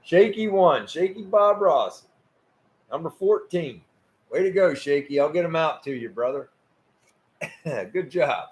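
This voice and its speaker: A man in his fifties hosts a laid-back live show with his wife. His voice sits a little high, and he talks in an easygoing way, tripping over his words and laughing often. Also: expressive, unhurried, pauses often. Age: 50s. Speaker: host. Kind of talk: casual livestream chat.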